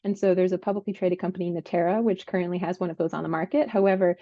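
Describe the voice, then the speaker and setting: A woman's voice: fairly low pitch, fairly even intonation, very fast, warm tone, dry recording. A woman working as a biotech analyst, giving a conversational market briefing on a call. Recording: slightly dull, lo-fi call audio.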